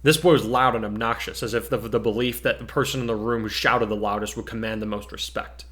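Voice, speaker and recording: A man's voice: on the low side, steady tone, quick pace, fairly bright tone. A man reading scripted fiction aloud as a narrator, in a dramatic story-reading style.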